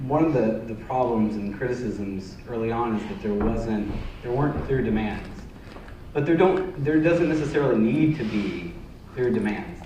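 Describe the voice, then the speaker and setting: A man's voice: mid-range voice, slight background noise. A man answering on a panel in a thoughtful way, often restarting his sentences. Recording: echoey hall, distant mic.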